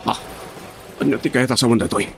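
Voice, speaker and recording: A man's voice: mid-range voice, quick pace, gravelly, over music, close to an everyday voice. An actor playing Gaspar, an anxious henchman in a radio drama, who keeps firing off pleading questions. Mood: worried. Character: Gaspar, panicked male henchman, adult.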